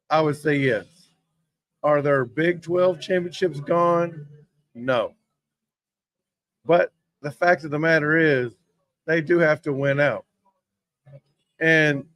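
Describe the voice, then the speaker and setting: A hoarse man hosting a casual sports talk show from a sports bar, rambling and getting worked up over play calls. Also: a little high, a bit bright. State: hoarse from yelling. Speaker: host.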